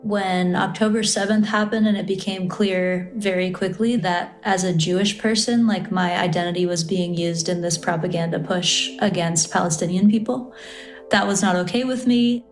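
A woman's voice: lowish voice, steady tone, moderate pace, faint music behind. A woman, talking casually and conversationally as an interviewee. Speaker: interviewee, singer-songwriter and activist.

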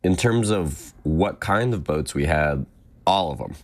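A man from the British Virgin Islands recounts things casually in an interview. His voice is extremely deep. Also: animated, measured pace. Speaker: interviewee, sailor.

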